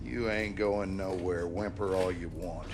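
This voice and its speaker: A man's voice: low voice, fairly even intonation, a little slow, very noisy. An actor playing a cruel, bigoted middle-aged father with a Southern American accent, menacing and sneering in a video game cutscene. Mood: menacing, preachy. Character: cruel, abusive, bigoted father, bullying his young son. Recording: loud music over the voice.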